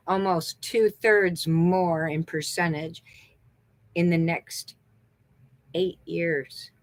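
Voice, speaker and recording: A woman's voice: fairly low pitch, dry recording. Chatty middle-aged woman with a Texan accent. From American Southwest. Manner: chatty and upbeat, thinks aloud while searching online. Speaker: beauty consultant. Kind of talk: casual sales vlog.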